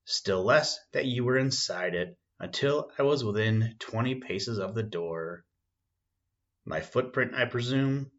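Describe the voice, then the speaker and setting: A man's voice: on the low side, a bit bright. A man reading the part of a keen, dryly ironic male detective in a storybook, with formal, precise phrasing, on a dull lo-fi recording. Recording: dull, slightly muffled lo-fi recording.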